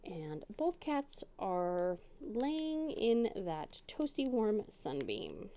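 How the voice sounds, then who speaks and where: Middle-aged woman, casual crafting chatter at home, warm mellow tone, slow easy delivery. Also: medium pitch, huge pitch swings. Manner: rambling, self-deprecating, chatty. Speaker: crafting channel host. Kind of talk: casual chat while crafting. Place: home dining room.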